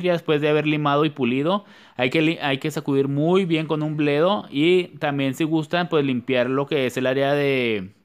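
Guy doing nail tutorial voiceover, kinda high voice, chatty and repetitive. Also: clear, resonant tone. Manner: chatty, repetitive, addresses viewers as "chicas". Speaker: nail artist. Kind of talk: casual nail tutorial voice-over.

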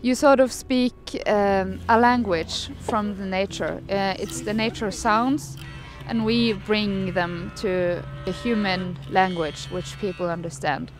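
A woman speaking slowly and calmly in a reflective documentary interview. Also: medium pitch. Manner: slow, calm, reflective. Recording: music playing behind.

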